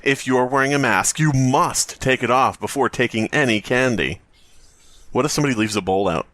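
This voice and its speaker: A man's voice: medium pitch, moderate pace, fairly bright tone, no echo. A man hosting a podcast in casual, joking banter, often given to laughing as he talks. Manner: laughs often while talking. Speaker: podcast host.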